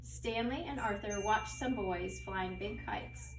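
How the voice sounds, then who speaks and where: A woman's voice: medium pitch, takes it slowly. A woman schoolteacher reading a children's story expressively and explaining things to young pupils as she goes. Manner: expressive, teacherly, explains words. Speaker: second grade teacher. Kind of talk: read-aloud of a children's story with asides to pupils. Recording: roomy echo, distant mic, faint noise.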